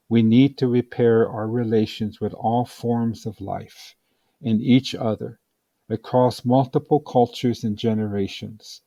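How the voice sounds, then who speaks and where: A man with a dark, mellow voice narrates a scripted advocacy text. His delivery is very slow and deliberate, with a solemn, measured weight. The sound is dry and close, free of room reflections. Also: medium pitch, no room sound. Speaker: audiobook reader.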